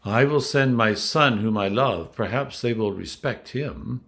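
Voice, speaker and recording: A man's voice: mid-range voice, measured pace, a bit bright. A man voicing a hopeful, troubled vineyard owner pondering aloud in a scripture parable.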